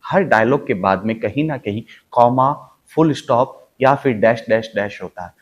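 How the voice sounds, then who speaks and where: A man's voice: mid-range voice, normal speed, dull audio, a little hiss or hum. A man teaching an acting lesson, explaining step by step with emphasis and repetition of key points. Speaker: acting coach. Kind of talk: instructional acting lesson with spoken demonstrations.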